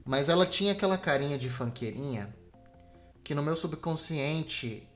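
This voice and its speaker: A man's voice: on the high side, expressive, moderate pace, fairly bright tone. Slangy young Brazilian man over game music. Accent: Brazilian Portuguese. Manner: slangy, self-deprecating. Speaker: podcast host. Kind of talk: casual solo podcast rambling. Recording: video game music behind the voice. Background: Super Nintendo game music.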